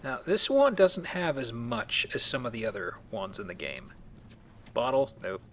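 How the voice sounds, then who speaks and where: A man gives casual let's play commentary in a bright, forward voice of middle pitch. He explains as he plays and tosses in joking asides, with faint game noise behind him. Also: big pitch swings, normal speed.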